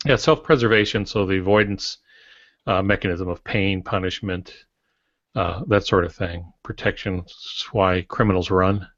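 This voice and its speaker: A man's voice: sits low, moderate pace, a bit bright, no room sound. An older man co-hosting a podcast, chatting casually and conversationally. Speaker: podcast co-host. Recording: dry, dull lo-fi audio.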